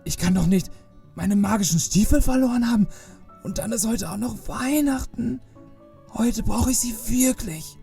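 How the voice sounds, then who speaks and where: A man's voice: sits high, normal speed, vocal fry, warm tone, soft background music. A man playing a distraught Santa Claus, muttering anxiously to himself in a family Christmas audio play. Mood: fretful, desperate. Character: distraught, fretting Santa Claus who lost his magic boots.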